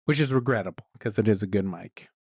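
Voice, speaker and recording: A man hosting a podcast interview, talking in a relaxed, laid-back way and laughing often. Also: lowish voice, fast talker, creaky, husky, dry recording, clean studio sound. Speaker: podcast host. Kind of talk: casual interview chat.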